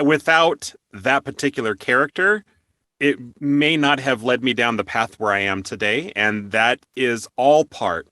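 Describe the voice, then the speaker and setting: A man's voice: mid-range voice, sharp tone, dry recording, clean studio sound. A man hosting a casual interview, chatting in an effusive, enthusiastic way. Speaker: interview host.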